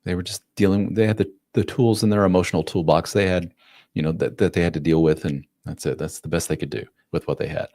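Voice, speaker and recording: A man's voice: low voice, fairly even intonation, fast talker, darkish tone, no echo, studio quality. A man in his late forties, a relationship coach answering chat questions live in a casual, plain-spoken way. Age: 40s. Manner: casual, plain-spoken, acts out quotes in a raised voice. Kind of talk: live-stream Q&A.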